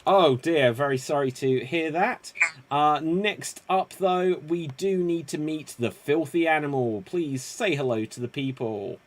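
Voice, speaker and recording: A man's voice: fairly high pitch, a little slow. A man hosting a live improv game over a video call, upbeat and a bit rambling. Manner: upbeat, rambling, self-correcting. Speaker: improv show host. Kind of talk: energetic game-show hosting.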